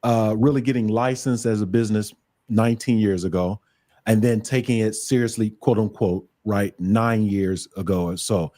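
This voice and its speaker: A man's voice: on the low side, fairly even intonation, moderate pace, warm tone. A man co-hosting a live-streamed interview over a video call, offering affirmations and asking long questions. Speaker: co-host. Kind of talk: livestream interview talk.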